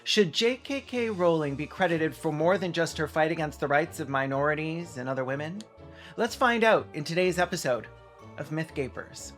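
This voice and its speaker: A man with a slightly high voice presents a video essay over light music. His delivery is sardonic and conversational, tipping into mock drama as he comments. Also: normal speed, fairly bright tone.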